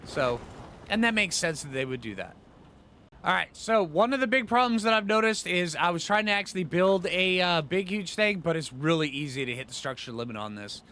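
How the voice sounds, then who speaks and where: A man's voice: sits high, lively intonation, a little fast, pushed and bright, slight background noise. A man giving casual gameplay commentary, thinking aloud in informal, slangy phrases with rambling asides. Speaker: gaming channel host.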